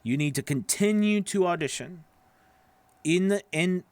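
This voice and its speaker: A man's voice: high voice, a little slow, darkish tone, no room sound. A man in his thirties or forties coaching voice actors in an informal, explanatory way, often checking in with the listener, close on a studio microphone. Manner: conversational, explanatory, frequent "right?" and "okay" check-ins. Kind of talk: informal tutorial talk to camera. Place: small home studio with acoustic panels, indoors. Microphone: close broadcast microphone on boom arm.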